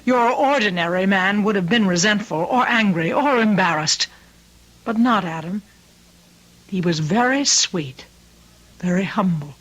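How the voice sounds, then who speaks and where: A woman's voice: mid-range voice, expressive, a little slow, a bit bright, poor audio. Casting: anxious mother of a grown daughter, middle-aged, mid-range voice, earnest and fussing, vintage radio play. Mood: earnest, confessing. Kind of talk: old-time radio drama acting.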